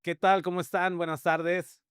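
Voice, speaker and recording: A man's voice: high-pitched, level tone, moderate pace, fairly bright tone, clear, resonant tone, no room sound, studio quality, faint music behind. A man hosting a podcast, greeting the audience and introducing his guest in an easygoing, joking way. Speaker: podcast host. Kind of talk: friendly interview hosting.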